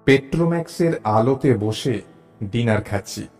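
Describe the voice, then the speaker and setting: A man narrating a scripted audio story in a measured, expressive storytelling manner. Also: medium pitch, faint music behind. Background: musical background.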